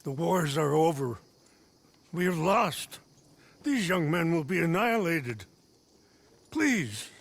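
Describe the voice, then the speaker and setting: An actor playing an old chief and father, speaking with solemn, measured pleading for his people in a video game. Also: on the high side, big pitch swings, gravelly, exaggerated voice. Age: older.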